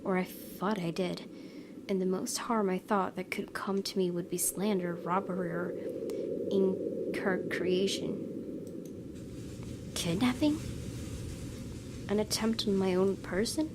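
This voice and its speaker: A woman's voice: mid-range voice, unhurried, long pauses, very noisy. A woman gaming YouTuber doing a let's play, reading story text aloud expressively with casual asides. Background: game music.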